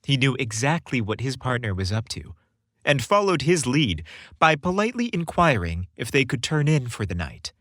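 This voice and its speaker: A man's voice: medium pitch, big pitch swings, normal speed, fairly bright tone, dry recording, studio quality. A man narrating a scripted story as a podcast host, with a theatrical, florid delivery.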